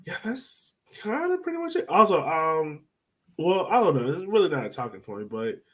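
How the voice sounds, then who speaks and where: A man's voice: on the high side, very animated, moderate pace, fairly bright tone. A man hosting a podcast, chatting casually about sports. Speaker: podcast host.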